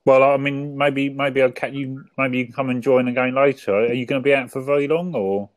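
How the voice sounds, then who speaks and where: A man's voice: medium pitch, steady tone, brisk, no room sound. Dry-sounding man hosting a casual chat. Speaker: host of a group video chat.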